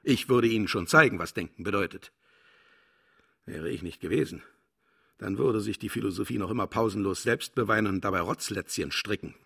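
A man's voice: lowish voice, fairly quick, creaky, bright, forceful tone, slightly rough, exaggerated voice. A man playing a vain, contemptuous philosopher in a radio play, declaiming and lecturing grandly. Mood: boastful, scornful. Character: pompous, cynical male philosopher, vain and contemptuous. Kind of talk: German radio play acting.

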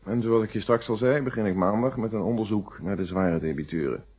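An actor playing a calm, principled middle-aged police inspector in a Dutch radio detective play, speaking in formal, articulate explanations. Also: male voice, lowish voice, fairly quick, breathy edge. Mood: businesslike. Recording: dull, muffled old radio recording.

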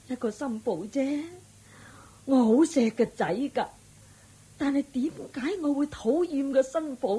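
A woman's voice: sits high, warm tone, breathy edge, exaggerated voice. A woman playing a fretful elderly mother in a radio drama, plaintive and sighing, with a Hong Kong Cantonese accent.

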